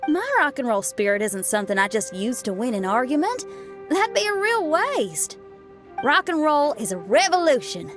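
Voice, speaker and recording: Cocky young rocker girl, high and lively, game voice. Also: moderate pace, over music. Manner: confident, spirited, cheeky. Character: bold young woman rock musician. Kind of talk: game cutscene dialogue.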